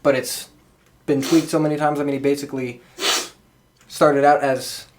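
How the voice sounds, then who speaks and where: A man's voice: fairly high pitch, little pitch movement, normal speed, background noise. A man co-hosting a podcast, talking in a casual, conversational way. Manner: informal, conversational. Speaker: podcast co-host. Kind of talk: casual chat.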